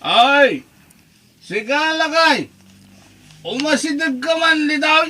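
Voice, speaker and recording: Casting: drunken village husband, Ilocano accent, slow drawl, bright piercing tone, cheeky radio-drama delivery. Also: male voice, very high voice, animated, exaggerated voice. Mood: taunting, rowdy. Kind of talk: amateur Ilocano radio-style drama acting.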